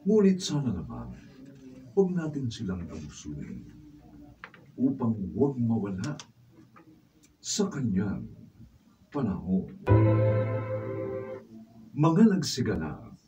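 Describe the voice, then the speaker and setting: A male narrator delivers solemn radio drama narration. His low, mellow voice moves very slowly with hugely varied, dramatic intonation. The mic sounds slightly distant. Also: huge pitch swings, music in the background. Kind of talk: scripted closing narration. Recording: distant mic with faint noise.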